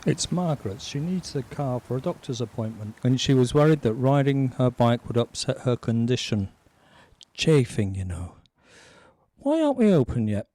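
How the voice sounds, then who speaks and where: A British actor playing an earnest, slightly odd office colleague in a comedy audio drama, eager and literal in manner. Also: male voice, mid-range voice, big pitch swings, normal speed, warm tone, no echo.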